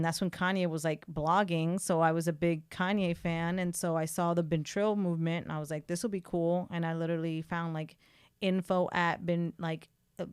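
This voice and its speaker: A woman's voice: fairly low pitch, smooth, no room sound, clean studio sound. A woman in her thirties from Miami, chatting casually as an interview guest with lots of filler words. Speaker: event producer guest.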